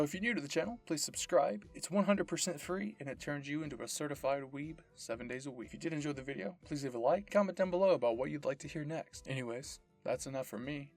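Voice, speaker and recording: A man narrating scripted fan fiction as the host of an audio story channel. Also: a little high.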